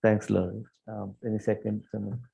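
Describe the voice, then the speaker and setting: A man's voice: fairly low pitch, level tone, normal speed, vocal fry, warm tone, slightly rough. A man chairing a board meeting, hesitant, with many fillers and restarts. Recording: dull lo-fi meeting audio.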